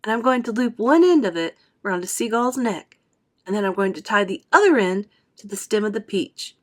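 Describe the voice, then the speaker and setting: A woman playing a calm, determined young boy in a storybook, explaining firmly. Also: medium pitch, moderate pace, voice pushed up, close to an everyday voice. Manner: firm, explanatory.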